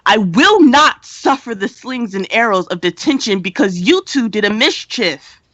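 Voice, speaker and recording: A woman's voice: fairly high pitch, lively intonation, normal speed, fairly bright tone, no echo, lo-fi sound. A woman playing a prim, rule-minded student news host in an amateur radio play. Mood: stern, exasperated. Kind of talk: amateur radio play, scripted newscast delivery.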